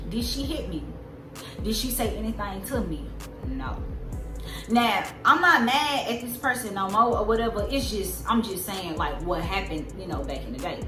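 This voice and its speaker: A woman in her twenties with a soft, squeaky voice, telling a story in a casual, rambling way with lots of fillers. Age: 20s. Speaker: vlogger. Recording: roomy echo with loud music behind. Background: music.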